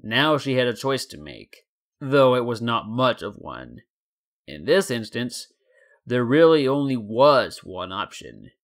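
A man's voice: mid-range voice, big pitch swings, unhurried. A man in his twenties reading a scripted story as a dramatic narrator. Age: 20s. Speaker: story narrator and channel host.

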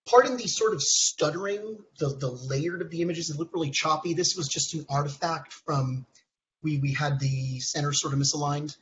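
A man in his thirties, hoarse from a cold, presenting research slides over a video call in a halting way, with many ums and restarts. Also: a little high, a little hiss or hum. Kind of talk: technical conference talk. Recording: dull lo-fi call audio.

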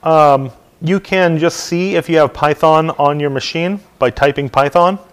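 A middle-aged man walks a room through a software install, speaking loosely with frequent ums and uhs. His voice sits a little high for a man and comes through smooth and clear. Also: unhurried. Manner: relaxed, many ums and uhs. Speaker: technical trainer. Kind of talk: hands-on tech tutorial talk. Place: dim meeting room, standing at lectern.

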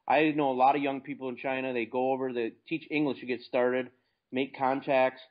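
A man's voice: mid-range voice, level tone, brisk. An American man talking casually and plainly as the guest in an investing interview. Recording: dull lo-fi internet call.

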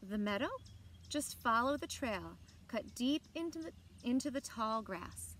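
Woman, slow-paced, higher-pitched, expressive children's storytelling, careful emphasis, outdoor recording with faint ambient noise. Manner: measured, deliberate phrasing with dramatic emphasis. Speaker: library story time reader. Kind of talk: expressive children's picture book read-aloud. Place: outdoors on a lawn by trees. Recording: outdoor background noise with birdsong. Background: outdoor ambience, birds.

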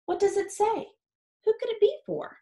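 A woman in her thirties reading a picture book aloud like a teacher, expressively and with deliberate phrasing for young listeners. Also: extremely high pitch, warm tone. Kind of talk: expressive children's read-aloud. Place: small home office or study with wooden shelves. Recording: roomy, echoey indoor sound.

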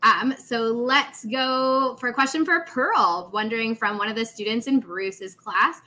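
A woman's voice: on the high side, measured pace, sharp tone. A woman moderating an online panel, upbeat, reading student questions aloud. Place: indoor office room.

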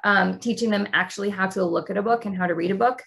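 A woman's voice: medium pitch, fast talker, creaky, a bit bright. A woman who teaches preschool, talking informally in an online training workshop. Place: indoors on a video call. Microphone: video call.

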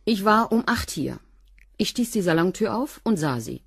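A woman's voice: medium pitch, big pitch swings, normal speed, gravelly, breathy edge, no room sound, studio quality, exaggerated voice. An actress playing a curt, unfazed cleaning woman who answers bluntly and laconically in a radio crime drama. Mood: curt, defensive.

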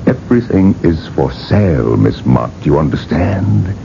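An actor playing a domineering older industrialist obsessed with living forever, imperious and emphatic, in a radio drama. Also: male voice, extremely low pitch, big pitch swings, measured pace, gravelly, full character voice. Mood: bitter, domineering. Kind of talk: 1980s radio drama acting and first-person narration. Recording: muffled, noisy vintage radio broadcast.

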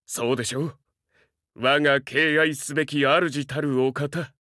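A man's voice: fairly high pitch, fairly even intonation, measured pace, bright, forceful tone, no room sound, studio quality. A man voicing a young, fiercely loyal dog-man samurai in a Japanese game, speaking earnestly in formal, archaic warrior speech with dramatic delivery. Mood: solemn, wistful.

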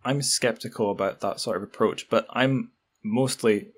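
A man's voice: fairly low pitch, moderate pace, breathy edge. A man hosting a podcast, musing tentatively in casual interview chat. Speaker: podcast host.

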